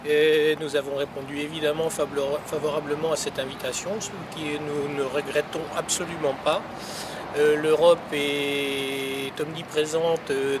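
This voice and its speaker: Higher-voiced older man, formal, street noise. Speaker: local elected representative being interviewed. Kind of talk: unscripted interview answers in formal wording. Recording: noisy outdoor recording with traffic ambience.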